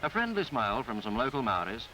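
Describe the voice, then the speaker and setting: A man reading a scripted 1950s newsreel travelogue in a formal, florid, measured way. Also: medium pitch, sing-song, bright, forceful tone, low-quality recording. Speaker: newsreel narrator. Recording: 1950s film soundtrack with background music. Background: music.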